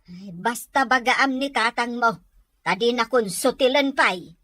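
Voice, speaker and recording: A woman plays an older market seller in a radio-style drama. She speaks high and unhurried, chiding with patient exasperation, in a dry close recording. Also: pushed and bright, no echo. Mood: mildly annoyed. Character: older village vegetable seller, exasperated but polite. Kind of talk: Ilocano audio drama acting.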